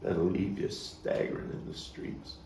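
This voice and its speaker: A man performing a theatrical monologue as a street-wise, bookish Brooklyn man recalling a hard childhood, halting and reflective with dramatic pauses. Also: lightly acted. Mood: pensive, grieving. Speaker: actor-playwright performing his monologue. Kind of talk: theatrical monologue at a table read. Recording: roomy, distant mic with faint noise.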